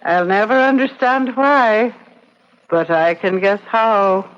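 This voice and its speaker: A woman's voice: mid-range voice, lively intonation, takes it slowly. An actress playing a knowing, wry woman in an old radio drama. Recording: noisy, muffled old radio recording.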